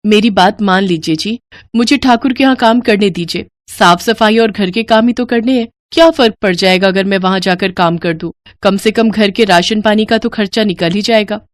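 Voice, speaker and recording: A woman voicing a caring, humble village wife and mother, gentle and pleading, in a cartoon story. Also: mid-range voice, moderate pace, no echo, studio quality, natural voice. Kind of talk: animated moral story dialogue.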